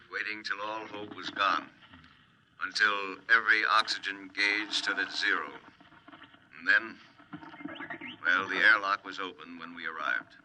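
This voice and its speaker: A man playing a grave military officer, measured and declamatory, in 1950s radio drama style. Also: fairly low pitch, bright, forceful tone. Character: solemn military officer giving a grave tribute. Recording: slightly noisy vintage radio recording.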